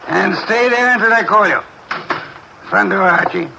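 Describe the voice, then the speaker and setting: An actor playing a pompous, brilliant detective who speaks in curt, formal, precise phrases, in 1950s radio mystery style. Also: male voice, high-pitched, normal speed, gravelly. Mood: imperious, dry. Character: pompous, sedentary, brilliant middle-aged male private detective. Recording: vintage 1950s radio broadcast, faint hiss.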